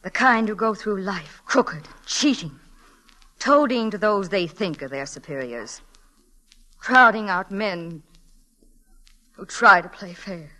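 A woman's voice: mid-range voice, expressive, takes it slowly, pauses often, sharp tone, rough recording. A woman playing a strict but caring schoolteacher in a 1940s radio drama, speaking earnestly with formal emphasis. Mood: bitter. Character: stern but caring, principled female schoolteacher.

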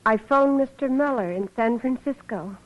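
Lady acting betrayed woman in an old radio play, higher voice, hurt but firm, muffled sound. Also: moderate pace, lightly acted. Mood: hurt, resigned. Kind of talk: 1940s radio drama acting. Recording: dull old radio recording.

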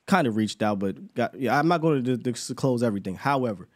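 A man's voice: medium pitch, expressive, a little fast. A man hosting a sports call-in show, a bit nasal from a cold, talking in a slangy, confident way. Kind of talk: casual sports talk with callers.

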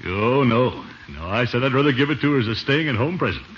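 An American actor playing a blustery, soft-hearted middle-aged family man in a 1950s radio comedy. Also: mid-range voice, lively intonation, fast talker, close to an everyday voice. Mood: jovial, blustery. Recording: noisy, muffled vintage radio recording.

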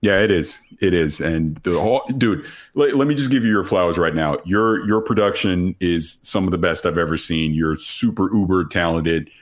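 A man's voice: sits low, moderate pace, hardly any pauses. A man guest on a gaming podcast, easygoing and appreciative as he talks. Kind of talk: casual podcast chat.

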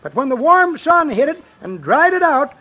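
A man playing a folksy old-timer with a rural American accent, spinning tall tales in deadpan 1950s radio comedy style. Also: very high voice, normal speed, full character voice. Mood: deadpan yarn-spinning. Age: older. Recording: dull old radio recording.

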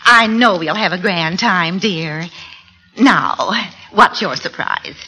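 A woman's voice: on the low side, takes it slowly, bright, forceful tone, slightly rough, exaggerated voice. An actress playing a cheerful middle-aged wife and mother in an old radio sitcom, fluttery and singsong. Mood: fluttery, pleased. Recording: noisy vintage radio recording.

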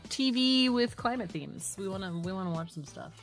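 A woman co-hosting a podcast in casual chat, with an animated, irreverent and sarcastic way of talking that includes free swearing. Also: mid-range voice, moderate pace, faint music behind. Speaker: podcast co-host.

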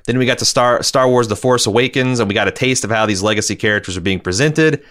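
A man's voice: medium pitch, fast talker, fairly bright tone. A man hosting a podcast, giving casual, rambling fan commentary and reading out feedback, repeating phrases for emphasis. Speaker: podcast host.

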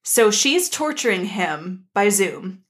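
A woman's voice: medium pitch, very animated, slow. A woman co-hosting a podcast, giving an enthusiastic, casual story recap in a playful, slangy way. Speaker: podcast co-host.

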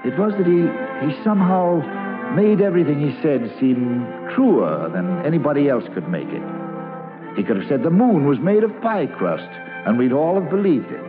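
Folksy, animated storyteller. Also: male voice, fairly high pitch, moderate pace. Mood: admiring. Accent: American. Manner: folksy, wistful, plain-spoken. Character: grown man fondly narrating his boyhood among travelling actors. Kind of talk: old-time radio drama narration. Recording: muffled old radio recording with music.

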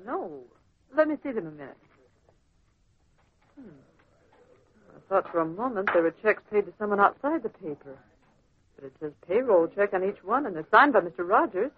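An actress playing a sensible, teasing female secretary in an old radio drama, with a dry, gently needling manner. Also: mid-range voice, big pitch swings, normal speed, long pauses, lightly acted. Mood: businesslike, curious. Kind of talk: 1940s radio acting. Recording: tinny, band-limited old radio recording.

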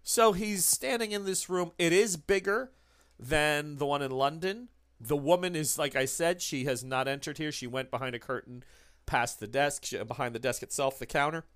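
Relaxed man narrating as game master. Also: fairly high pitch, moderate pace, smooth, no room sound, clean studio sound. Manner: relaxed, laughs easily.

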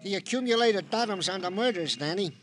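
An actor playing a genial, pompously wordy police sergeant in an old radio crime drama, with deliberate, formal phrasing. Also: male voice, sits high, animated, a bit bright, faint music behind. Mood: genial, florid. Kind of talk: 1940s-50s radio drama comic-relief acting. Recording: noisy old radio recording.

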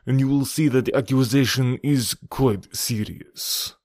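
A man voicing an insistent, self-righteous gentleman accuser in an audiobook, formal and pressing. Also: medium pitch, steady tone, measured pace, no room sound, studio quality, pitched above the performer's own voice, natural voice. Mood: insistent, accusing. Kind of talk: audiobook character voice.

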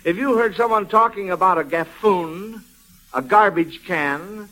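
American male quiz host, 1940s radio style, folksy delivery, voice on the higher side, vintage broadcast sound. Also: measured pace, pushed and bright, low-quality recording.

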